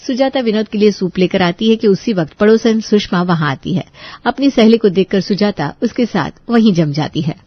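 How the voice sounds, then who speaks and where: A woman's voice: mid-range voice, normal speed, no echo, dull audio. A woman narrating a scripted bedtime story as a storyteller.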